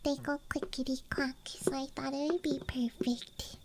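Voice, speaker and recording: A woman giving a hesitant, musing, self-deprecating ASMR ramble in a soft, breathy whisper. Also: high-pitched, steady tone, normal speed, a little hiss or hum. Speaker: ASMR creator. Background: soft night ambience.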